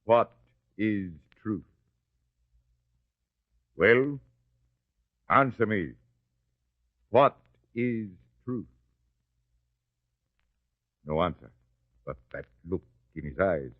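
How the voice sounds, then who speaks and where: An actor playing a proud but uneasy Roman governor who speaks condescendingly, in an old-time radio drama. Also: male voice, fairly low pitch, animated, extremely slow, pauses often, no echo, exaggerated voice. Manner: haughty and condescending, then hesitant. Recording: dry, dull lo-fi recording.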